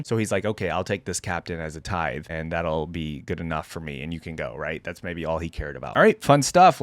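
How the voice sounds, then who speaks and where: A man gives informal commentary as a reaction host. His voice sits a little low, with a very dry sound, and he talks casually as if thinking aloud. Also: no echo.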